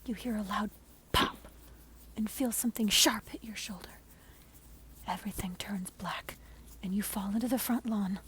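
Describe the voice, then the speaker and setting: A woman telling a scary story in a breathy, unvoiced ASMR whisper, close to the microphone. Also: medium pitch, steady tone, normal speed, long pauses. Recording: soft noise, mic scratching. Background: scratching and layered sounds.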